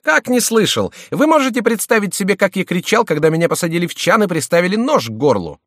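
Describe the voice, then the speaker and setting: A male narrator plays a battered young courtier in a storybook tale. The voice is high and swooping, full of indignant exclamations and loud complaint, as if every wrong were a scandal. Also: expressive, fairly quick, no echo, clean studio sound, natural voice. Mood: exasperated. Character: outraged, battered young nobleman, a king's favourite, loudly complaining. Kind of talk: audiobook character voice.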